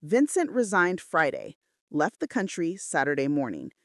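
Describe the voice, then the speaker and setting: A brooding, controlling male mafia boss, as a woman narrator puts him on in a romance audiobook. He speaks tersely and commands every exchange. The pitch swings widely and the recording is dry. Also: huge pitch swings, normal speed, no echo. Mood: cold, tense. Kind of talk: dramatic romance audiobook dialogue.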